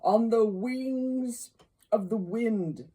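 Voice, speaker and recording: A grave, tormented storybook ghost, acted out by a woman, bound in chains and full of sorrow. The words come out very slowly in long, loud, declamatory wails, with the pitch lifted above a soft-toned base. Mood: anguished. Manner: slow, declamatory, drawn-out wailing. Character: anguished male ghost in chains, mournful and grave.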